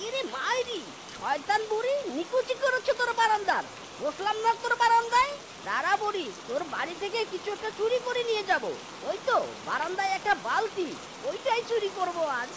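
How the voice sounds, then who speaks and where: A high-pitched sly fox thief. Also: male voice, sing-song, a little fast, very noisy. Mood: grumbling. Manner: cheeky, boastful, muttering to himself. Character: sly thieving young fox trickster, male. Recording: cartoon voice over loud music.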